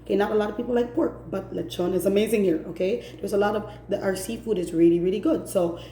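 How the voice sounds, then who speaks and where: A woman's voice: mid-range voice, quick pace, dark tone, echoey room, slight background noise. Exasperated woman. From Philippines, Cebu City. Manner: emphatic and exasperated, repeating points for stress. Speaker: vlogger. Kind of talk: direct-to-camera opinion rant.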